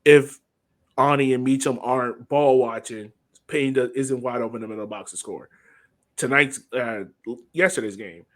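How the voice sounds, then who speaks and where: A man speaks casually as a sports podcast co-host. He works through a soccer match out loud in an informal, conversational way. His voice sits at an average male pitch. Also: moderate pace. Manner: informal, thinking aloud.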